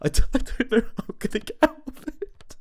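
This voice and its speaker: A male actor playing an anxious, overwhelmed young British father, confiding haltingly and apologetically in a naturalistic audio drama. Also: natural voice. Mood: tearful, overwhelmed.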